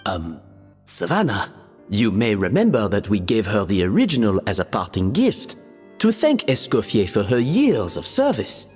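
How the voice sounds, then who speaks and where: A man's voice: fairly low pitch, wildly swooping pitch, normal speed, a little mellow. A man voicing a timid, awkward, polite peacemaker in a video game, hesitant and full of ums. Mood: nervous, apologetic. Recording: long hall reverb, music behind.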